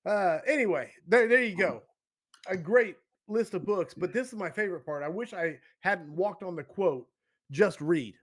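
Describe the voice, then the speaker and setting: Podcast host, male, slightly high-pitched, enthusiastic and jokey. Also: lively intonation, normal speed. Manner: enthusiastic, laughing, playful. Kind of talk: casual interview chat.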